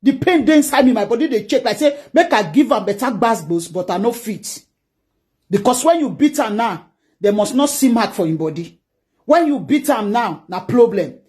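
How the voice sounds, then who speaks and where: Nigerian-accented parent in a phone clip, middle pitch, lamenting and exasperated. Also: lively intonation, normal speed, darkish tone. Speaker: parent living abroad. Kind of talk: social media clip monologue.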